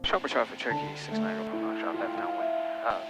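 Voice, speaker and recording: A man's voice: on the low side, expressive, brisk. A man who is a native Mandarin speaker, chatting casually and making radio calls as a pilot on a cockpit headset. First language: Mandarin Chinese. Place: small plane cockpit in flight. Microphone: aviation headset. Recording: cockpit intercom with music behind.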